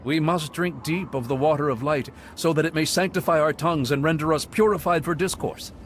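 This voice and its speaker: A man's voice: a little high, a little fast, a little hiss or hum, lightly acted. A man playing a pious cult monk. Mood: serene. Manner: soft, pious, sermonizing. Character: serene zealous male cult monk. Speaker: game character. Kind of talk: video game dialogue.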